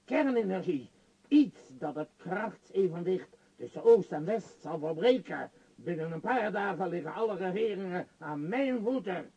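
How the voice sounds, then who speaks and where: A man's voice: high-pitched, lively intonation, measured pace, vocal fry, dark tone, husky, exaggerated voice, low-quality recording. A male actor playing a power-hungry, grandiose tycoon in a Dutch radio play, declaiming emphatically. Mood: exalted, secretive. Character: power-hungry, grandiose tycoon obsessed with his world empire.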